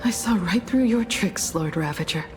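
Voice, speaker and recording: A woman voicing a resolute, stern heroine in a video game, speaking in a firm, measured, confrontational way. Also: medium pitch, lively intonation, natural voice. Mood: accusing. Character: resolute, stern heroine, a ship's navigator facing down an enemy. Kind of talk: dramatic video game voice acting. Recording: loud game music behind. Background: game soundtrack music.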